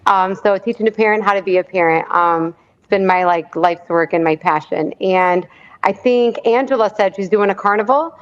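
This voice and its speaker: A woman's voice: mid-range voice, moderate pace, clean tone. A woman who works as a nurse, chatting as a guest on a video call. She is bubbly and rambling, with many ums. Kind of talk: casual chat. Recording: dull lo-fi video call audio.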